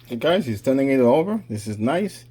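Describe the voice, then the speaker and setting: A man's voice: medium pitch, sing-song, normal speed, warm tone, slightly rough. A man casually talking viewers through a home cooking vlog, chatty and addressing them directly. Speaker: cooking vlogger.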